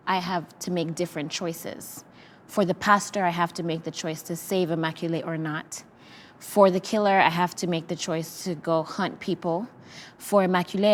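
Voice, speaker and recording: A young Rwandan-born actress in a post-show talkback on a video call, speaking thoughtfully and earnestly, with many fillers. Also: female voice, on the low side, slight background noise. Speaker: actress, the play's lead. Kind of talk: reflective talkback answers.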